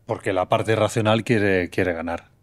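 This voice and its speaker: A man's voice: lowish voice, steady tone, measured pace. A middle-aged man from Menorca with an accent from Spain, speaking in a reflective, conversational interview style, feeling his way and repeating words for emphasis. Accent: Spanish from Spain.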